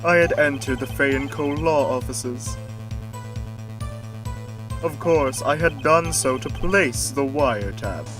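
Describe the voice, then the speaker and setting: A man voicing a flamboyant, smug CEO villain testifying in a courtroom game fandub, with theatrical, drawn-out delivery. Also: mid-range voice, far from the mic, music in the background, lightly acted. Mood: smug testimony. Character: flamboyant, smug male corporate CEO villain on the witness stand.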